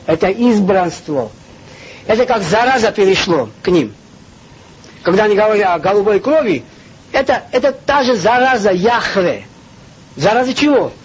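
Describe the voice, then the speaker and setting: High, animated man. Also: normal speed, long pauses, husky. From Georgia. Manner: emphatic, with frequent hesitations and rhetorical questions. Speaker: spiritual lecturer. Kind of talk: impassioned religious lecture. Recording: dull lo-fi recording with faint background noise.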